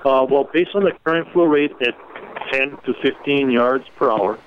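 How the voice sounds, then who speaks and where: A man's voice: mid-range voice, a little hiss or hum. Man briefing over a tinny phone line. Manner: measured, halting, many fillers and restarts. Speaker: civil defense official briefing media. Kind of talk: informal media briefing on a conference call.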